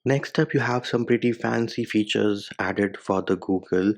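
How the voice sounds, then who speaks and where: A man's voice: on the low side, normal speed, creaky. A man whose first language is Hindi, giving a step-by-step phone tutorial voice-over with casual slang. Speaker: tech reviewer.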